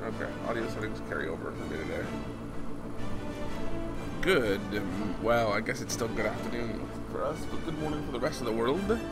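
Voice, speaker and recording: A male game streamer with a hoarse, fading voice, chatting casually and answering viewers while he plays. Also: mid-range voice, normal speed. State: hoarse, losing his voice. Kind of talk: casual live-stream chat while gaming. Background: loud game music.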